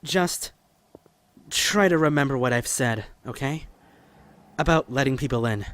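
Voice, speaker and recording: A performer playing an earnest, anxious, kindly young man surviving a zombie apocalypse in an audio drama, with a gentle, hesitant manner. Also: medium pitch, unhurried, lightly acted. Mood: gentle, wistful.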